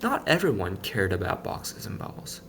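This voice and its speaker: A man reading a children's picture book aloud in an expressive, playful storytelling manner. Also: low voice, normal speed, a little hiss or hum.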